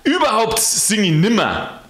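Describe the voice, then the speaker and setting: A woman voicing a cranky, loud Munich porter who has become an angel, shouting and cursing in Bavarian dialect. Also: deep voice, huge pitch swings, fairly quick, echoey room, pitched above the performer's own voice, full character voice. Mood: angry, shouting.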